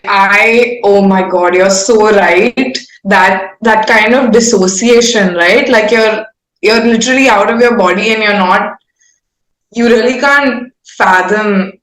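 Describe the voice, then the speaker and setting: A woman with an Indian accent chats casually and candidly as an interviewed singer, rambling in an informal, self-aware way. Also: mid-range voice. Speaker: singer-songwriter being interviewed. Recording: roomy echo.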